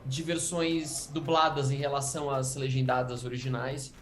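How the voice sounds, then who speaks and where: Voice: mid-range voice, level tone, moderate pace. Interviewer in an echoey room. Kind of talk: interview questions. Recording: roomy echo.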